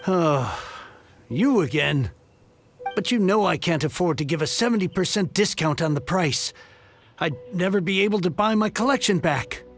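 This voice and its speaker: An actor playing a grouchy, despairing book collector in a video game, with a creaky, melodramatic, grumbling delivery. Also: male voice, sits high, expressive, moderate pace, faint music behind. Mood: wistful. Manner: melodramatic, grumbling, weary sighing. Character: grouchy, despairing male book collector fallen on hard times.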